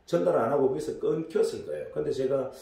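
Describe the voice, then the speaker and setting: A hoarse middle-aged man giving a casual business lecture as a story, rambling with many fillers and restarts. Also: fairly high pitch, fast talker, warm tone. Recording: echoey room, distant mic.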